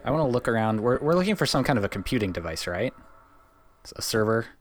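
A man's voice: mid-range voice, lively intonation, fast talker, a little hiss or hum. A man improvising his moves and lines as a player in an audio role-playing game.

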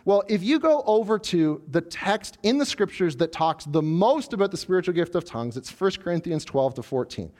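Male preacher, high voice that swoops all over, working through scripture. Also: moderate pace, hardly any pauses. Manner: animated preaching, voicing the proud tower builders. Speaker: pastor. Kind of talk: sermon.

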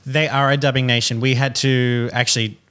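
A man chatting casually, talkative and animated, often breaking into laughter as he tells stories. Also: medium pitch, brisk, noisy background. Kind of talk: casual chat.

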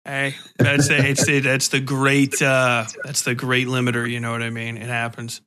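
A man's voice: mid-range voice, monotone, moderate pace, bright, forceful tone, clean tone. A man hosting a podcast in a casual, informal, slangy way. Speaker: podcast host interviewing an author.

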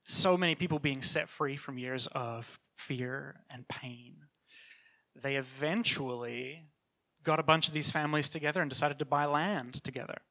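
Middle-aged man, mild New Zealand accent, earnest church speaker, bright tone, casual restarts. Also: mid-range voice, moderate pace, poor audio. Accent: New Zealand, softened by years in Canada. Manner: earnest, conversational, with frequent restarts. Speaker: children's ministry leader. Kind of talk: church talk with personal testimony.

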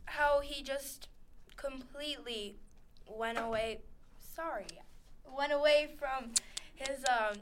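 A woman's voice: high-pitched, slow, a bit bright, slight background noise. A teenage girl co-hosting a casual radio chat, rambling, giggling and acting out characters as she recaps scenes. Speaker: co-host. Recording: radio studio.

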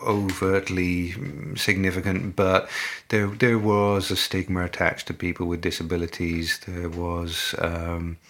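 A middle-aged man, a native English speaker, answering interview questions in a measured, halting way with many fillers and restarts. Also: low voice, completely flat delivery, fairly bright tone. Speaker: company representative, interviewee.

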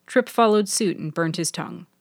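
A woman's voice: fairly low pitch, moderate pace, dry recording, clean studio sound. A woman reading scripted fiction as the narrator of a serial story. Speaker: author and narrator.

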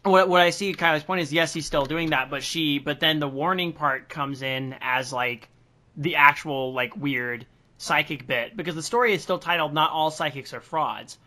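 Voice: medium pitch, normal speed, sharp tone. A podcast co-host chatting casually and thinking aloud in a group discussion.

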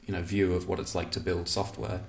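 A man hosting an interview podcast, relaxed, with dry humor and many fillers. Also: low voice, fairly quick, roomy sound. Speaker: podcast host. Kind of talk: interview conversation.

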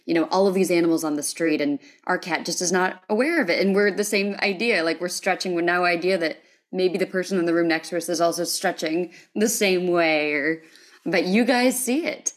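A woman's voice: lowish voice, a little fast. An American woman hosting a podcast, chatting informally and readily amused as she responds and asks questions. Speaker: podcast host. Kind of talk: casual interview chat.

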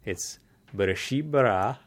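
A man's voice: on the low side, a little slow. A man in casual conversation, explaining a topic at length in a thoughtful way, often restarting his sentences.

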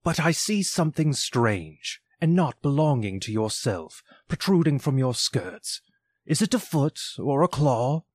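A man's voice: mid-range voice, big pitch swings, a little slow, dry recording, studio quality, pitched above the performer's own voice. A man voicing an old male miser who pleads with a spirit in strained, anxious questions. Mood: wary.

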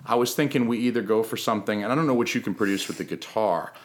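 A man's voice: on the low side, fast. A young man hosting a casual comedy podcast, rambling and mock-earnest as he jokes with his guest. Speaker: podcast host.

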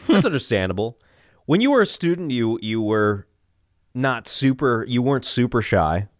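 A man co-hosting a casual podcast, rambling with fillers and quick laughs. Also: medium pitch, very animated, dry recording, clean studio sound. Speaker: podcast co-host and teacher.

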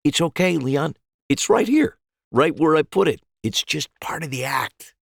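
A man's voice: fairly high pitch, expressive, unhurried, vocal fry, no room sound, studio quality, voice pushed up, heavily acted. A man voicing a cheerful, reassuring magician with upbeat patter in an audio story. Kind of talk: acted dialogue in an audio short story.

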